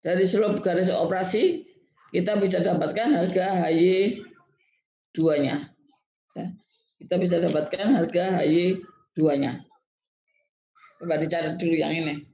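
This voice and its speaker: Lecturer going step by step, repeats stuff, soft dark creaky voice, muffled recording. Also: medium pitch, unhurried, long pauses. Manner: repetitive, checks in with a tag word after statements. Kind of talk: step-by-step technical lecture to students. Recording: dull, echoey lo-fi room recording.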